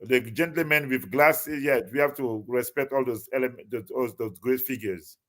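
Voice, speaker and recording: Halting man with many fillers. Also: medium pitch. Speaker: guest. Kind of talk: casual interview talk.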